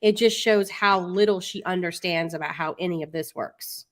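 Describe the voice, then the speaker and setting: A woman's voice: on the low side, normal speed. A woman co-hosting a casual livestream. She explains things in a matter-of-fact way. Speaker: co-host.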